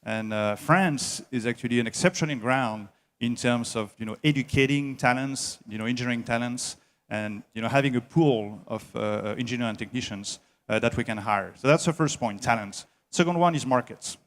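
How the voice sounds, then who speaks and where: Guy talking about his company at a trade show, lots of uhs, middle pitch. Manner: halting, many filler sounds, slow and deliberate. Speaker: head of an engineering services company.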